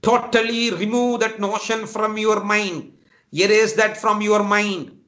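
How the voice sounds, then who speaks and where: A man with a high voice and a Tamil Nadu Indian English accent lectures students over a dull, lo-fi online call. He talks earnestly and repeats his points, often calling his listeners "my dear friend". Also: fairly even intonation, measured pace, bright, forceful tone. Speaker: guest speaker. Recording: dull lo-fi online talk audio.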